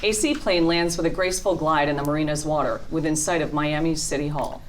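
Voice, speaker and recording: Slightly low-voiced woman reading stage directions. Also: brisk, a little hiss or hum. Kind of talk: scripted narration at a table read.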